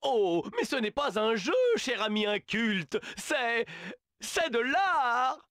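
A man's voice: extremely high pitch, lively intonation, slow, pushed and bright, no room sound, clean studio sound, full character voice. An actor voicing a fussy, theatrical male animal who hands out tasks in a game, with a grandiose, condescending manner. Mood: lofty. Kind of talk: video game dubbing.

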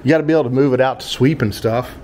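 A man's voice: mid-range voice, very fast, a little mellow. A man in his fifties with an American accent, chatting casually as a vlog host in a joking, rambling, informal way. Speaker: vlogger and host. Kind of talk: casual handheld vlog chat. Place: tiled room and open-fronted home shop. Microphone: handheld action camera at arm's length. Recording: noisy handheld camera audio.

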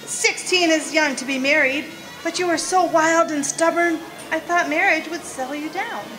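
Woman playing a prim, high, bright-voiced scolding mother. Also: moderate pace, soft background music, low-quality recording. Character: stern, judgmental old-fashioned mother, prim and resentful. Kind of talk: radio drama acting.

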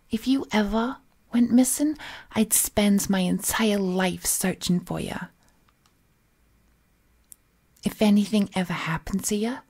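A woman acts out a possessive, unhinged female kidnapper for a roleplay audio. Her voice is soft-edged and mellow, and she takes her time, coaxing in folksy slang with sweet menace and slipping into breathy whispers. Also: medium pitch, full character voice. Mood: possessive devotion. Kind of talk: roleplay audio acting, intimate monologue.

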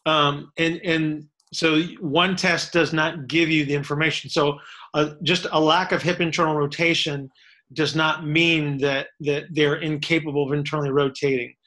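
Bright, forceful coach voice on a webcam call, repeats words, keeps asking "make sense?". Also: male voice, fairly high pitch, level tone, moderate pace. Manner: explains step by step, repeats words, checks for understanding. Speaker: strength and fitness coach answering questions. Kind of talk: casual expert Q&A explanation. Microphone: webcam on a video call.

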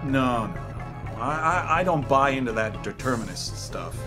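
A man's voice: mid-range voice, expressive, moderate pace, over music, close to an everyday voice. A man playing a bumbling, naive private detective, hesitant and easily baffled, in a comic noir audio drama. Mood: muttering, peevish.

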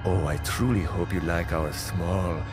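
An actor playing a sinister robed cult leader in a video game, pompous and deliberate, calmly menacing. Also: male voice, low-pitched, music in the background. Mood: gloating menace. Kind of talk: video game cutscene villain acting.

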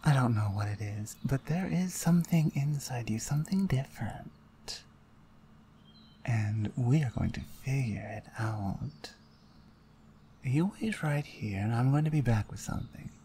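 A woman acting as a dominant female orc shaman in an ASMR roleplay, with a low voice. She is stern and deliberate, giving orders with a taunting, suggestive edge, over audible background noise. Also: lively intonation, dark tone. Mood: curious, commanding.

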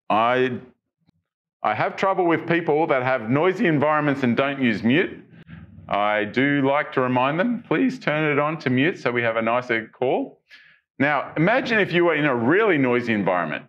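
A man's voice: medium pitch, a bit bright, lo-fi sound. Chatty middle-aged man presenting tech news. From Australia. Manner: chatty, many fillers and restarts, asks viewers questions. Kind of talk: casual tech news walkthrough. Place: studio with branded backdrop.

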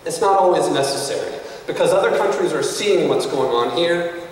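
A man's voice: lively intonation, a little hiss or hum. Earnest man speaking in an echoey hall. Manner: earnest, measured speech that rises in pitch for emphasis. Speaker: conference speaker. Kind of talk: prepared conference speech. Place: hotel conference room at a podium. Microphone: podium mic, recorded by a camera across the room. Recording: echoey hall picked up from far off.

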